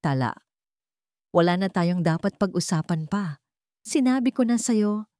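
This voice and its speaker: A woman's voice: on the low side, lively intonation, a little slow, a little mellow, no room sound. A woman narrator reads as a rich, tired father in a storybook drama. He is cold and disbelieving, throwing out short, doubting questions. The recording is dry. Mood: cold, weary. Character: weary, doubting wealthy father, cold and incredulous.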